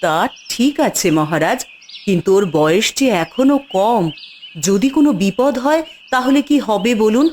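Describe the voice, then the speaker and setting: A woman's voice: a little high, animated, moderate pace, a little mellow, lightly acted. A woman voicing an anxious, doting queen and mother in a cartoon fairy tale, with a pleading, worried manner. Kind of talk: dramatic cartoon voice acting. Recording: faint noise with chirping birds. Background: birdsong.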